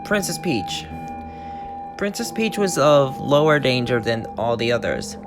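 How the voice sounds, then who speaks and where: A man at a middle pitch reads a creepy internet story aloud to his channel, keeping a plain tone and tripping over words before starting lines again. Guitar music plays clearly behind his voice. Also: big pitch swings, unhurried. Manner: plain reading with frequent stumbles and restarts. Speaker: channel host and story reader.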